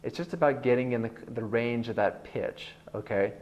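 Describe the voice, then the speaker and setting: A man with a bass-baritone voice teaching a guitar lesson in an online video, explaining in a relaxed, rambling way with many fillers. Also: moderate pace, dull audio.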